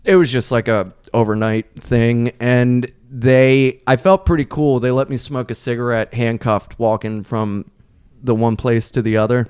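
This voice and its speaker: A man's voice: medium pitch, steady tone, measured pace, few pauses, darkish tone, clean tone, no echo, clean studio sound. A man, a comedian guest, telling rambling stories on a podcast and laughing through them. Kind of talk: casual podcast storytelling.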